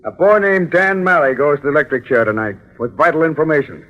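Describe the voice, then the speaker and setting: A formal, troubled police commissioner in a vintage radio play. His voice is a bit high and crisp-edged, earnest and courteous, on a dull old recording. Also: expressive, fast talker, fairly bright tone, soft background music. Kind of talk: 1930s radio drama acting.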